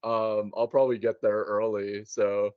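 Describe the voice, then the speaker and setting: A man chatting casually, telling things in an animated, chatty way and acting out little quotes as he goes. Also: on the low side, unhurried, smooth, no echo, narrow, tinny audio. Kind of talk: casual chat.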